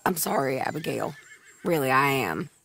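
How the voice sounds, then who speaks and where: An actress playing a tough, plain-spoken female bounty hunter with a raspy voice and a rural American accent in a video game. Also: a bass voice, lively intonation, normal speed, a bit bright, heavily acted. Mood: apologetic, low. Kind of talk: video game cutscene acting.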